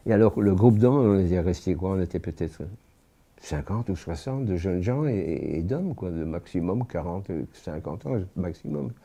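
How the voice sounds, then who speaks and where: An elderly man speaks in a low, very dark and mellow voice. He recounts slowly and gravely, often pausing and restarting his sentences as he searches for words. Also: animated. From born in France. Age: 80s. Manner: halting, with frequent restarts and pauses. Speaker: witness giving testimony. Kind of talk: spoken personal testimony.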